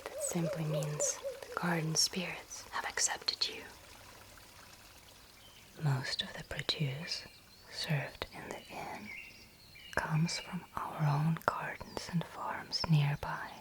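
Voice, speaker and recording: A woman playing a gentle, courteous inn hostess in a fantasy ASMR roleplay, speaking in a soft, breathy whisper with formal, measured phrasing. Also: low-pitched, sing-song, exaggerated voice. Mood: softly storytelling. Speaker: innkeeper character in roleplay.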